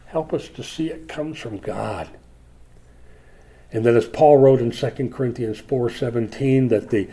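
A man's voice: medium pitch, dark tone. A man in his sixties preaching a sermon, reflective, rising with emphasis when he reads scripture. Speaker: pastor.

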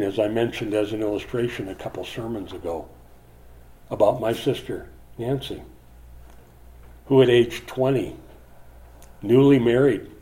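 A man's voice: lowish voice, mellow. A man in his sixties preaching a sermon, reflective, rising with emphasis when he reads scripture. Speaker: pastor.

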